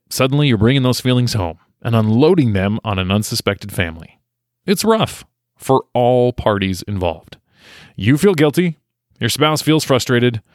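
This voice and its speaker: A man's voice: mid-range voice, no echo, studio quality. A young adult man hosting a podcast, speaking in an earnest, reflective, conversational way as he introduces and interviews a guest. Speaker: podcast host.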